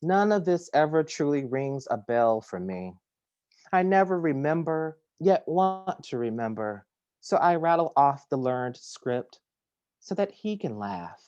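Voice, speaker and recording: A man reading his poems aloud at home over a video call, measured and deliberate, sometimes dropping to a hush. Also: on the high side, sing-song, smooth, no room sound, muffled recording. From Detroit, United States. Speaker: poet. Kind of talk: poetry reading. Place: home, at a kitchen table.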